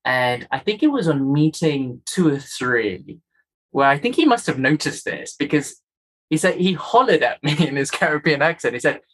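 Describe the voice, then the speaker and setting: A man from Kenya with a softened Kenyan accent, a guest telling his story in an interview with earnest, emphatic repetitions. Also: a little high, sing-song, normal speed.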